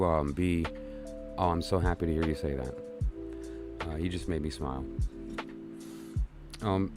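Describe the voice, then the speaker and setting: A man from Brooklyn hosting a tarot channel livestream, talking casually and rambling with fillers and restarts. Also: low voice.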